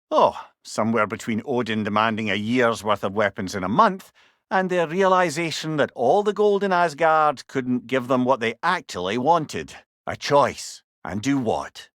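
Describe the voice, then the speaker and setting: Game role: witty old Scottish advisor, companionable and eloquent with a rueful edge, animated medium-pitched delivery. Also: male voice, no room sound, studio quality. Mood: reflective. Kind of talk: video game character dialogue.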